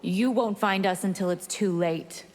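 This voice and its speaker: A woman's voice: medium pitch, a little slow, darkish tone, lightly acted. An actress playing a defiant, predatory vampire in a fighting game, unrepentant, her voice in a reverberant space. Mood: defiant, menacing. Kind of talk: scripted fighting game dialogue.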